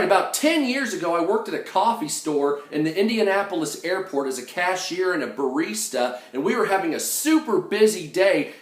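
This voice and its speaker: Male storyteller, brash and sarcastic with salty language, very lively intonation, recorded in an echoey room. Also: on the high side. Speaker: storytime video host. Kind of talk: animated personal storytelling.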